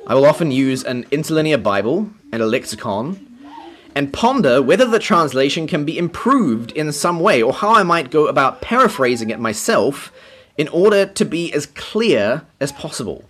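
A man preaching a teaching sermon, explaining scripture in a measured, explanatory way. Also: mid-range voice, sing-song, a bit bright. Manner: explanatory, measured, occasional light laugh.

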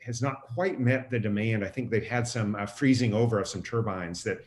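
A man's voice: lowish voice, fairly quick, a little hiss or hum. An American man answering as a guest expert, explaining things in a casual, conversational way.

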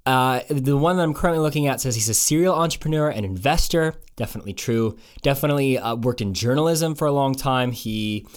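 A man hosts and introduces his podcast in a relaxed, rambling way, stopping to restart his sentences. His voice sits at an ordinary middle pitch. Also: a little fast, few pauses, smooth. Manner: loose and chatty, with fillers and restarts. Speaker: podcast host. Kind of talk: conversational podcast intro and interview.

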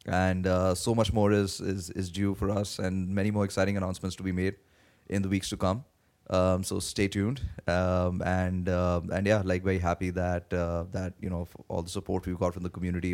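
A man being interviewed as a guest, answering in a halting way with many fillers, his voice worn from days of talking. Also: low-pitched, monotone, darkish tone. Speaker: guest, blockchain project team member. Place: conference venue.

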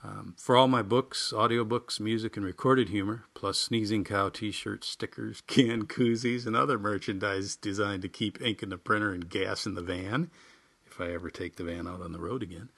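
A man's voice: fairly low pitch, fairly even intonation, normal speed, gravelly, fairly bright tone. A man hosting a podcast, reading humorous personal essays and chatting informally in a wry, folksy, self-deprecating way. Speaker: writer and podcast host.

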